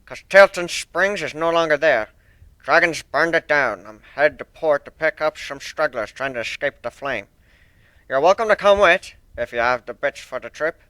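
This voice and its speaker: A man voicing a grizzled, stern, deadpan old sailor pegasus in an amateur dramatic reading of a cartoon fan story. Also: on the high side, moderate pace, no echo, rough recording. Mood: blunt, advising. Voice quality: stern, slurred S sounds, rolled R's. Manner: deadpan, blunt seafaring talk. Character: grizzled old male sea dog pegasus, gruff, deadpan.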